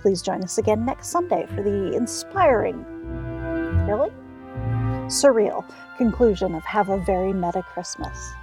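A woman's voice: medium pitch, lively intonation, unhurried. Woman announcer over music. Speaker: show announcer. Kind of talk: scripted radio sign-off. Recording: music behind the voice.

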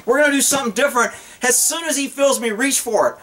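A man's voice: very high-pitched, fast. A middle-aged man giving blunt, folksy, off-the-cuff instruction to camera as a horse trainer. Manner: animated, blunt, folksy, acts out imagined dialogue. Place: outdoors on open rural land.

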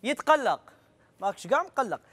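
A man's voice: extremely high pitch, big pitch swings, fairly quick, fairly bright tone. A middle-aged man with an Algerian accent, hosting a TV game show on a big studio stage and teasing the contestants.

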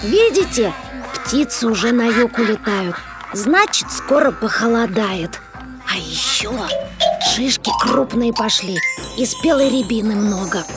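A woman's voice: on the high side, expressive, a little slow, over loud music. A woman voicing a sensible young boy polar bear cub in a children's cartoon, speaking in an earnest, explanatory way. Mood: earnest, assured.

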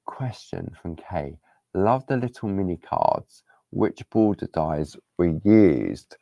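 A man hosting a live craft-along, chatting casually and rambling as he works, with a hoarse voice after days of talking. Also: sits low, expressive, slow, no room sound, muffled recording. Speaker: craft demonstrator. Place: craft studio table. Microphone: overhead camera on hands, speaker unseen.

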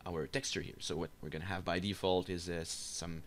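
A man giving an unscripted software screencast tutorial, explaining step by step in a halting, hesitant way. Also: deep voice, little pitch movement, a little hiss or hum. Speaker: tutorial instructor. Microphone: voice-over for a screen recording.

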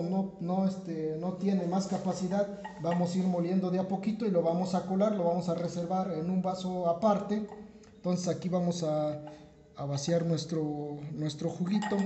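A man explains a drink recipe step by step to viewers, unhurried, with many fillers and restarts. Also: sits high, warm tone, a little hiss or hum. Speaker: home bartender, channel host. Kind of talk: step-by-step cocktail tutorial. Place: home kitchen counter, indoors. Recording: roomy, dull lo-fi camera audio.

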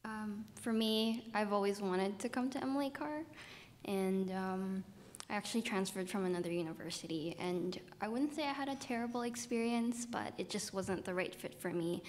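A woman's voice: medium pitch, normal speed, a little mellow. A young woman student born in Vancouver and raised in Asia, speaking earnestly on a panel in a reverberant hall. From Vancouver, grew up in Asia. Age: young adult. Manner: earnest, laughs lightly. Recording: reverberant hall, faint noise.

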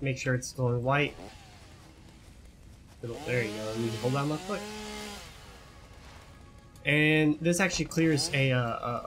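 A young man giving casual tutorial commentary over gameplay, chatty, often repeating words and asking "right?". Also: mid-range voice. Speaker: gaming YouTuber.

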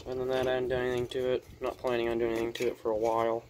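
A man's voice: mid-range voice, completely flat delivery, very fast. A man giving a casual, unscripted show-and-tell in an offhand mutter while working at a bench in a small cluttered workshop. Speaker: hobbyist electronics repairer showing equipment. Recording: handheld camera audio with clear background noise.

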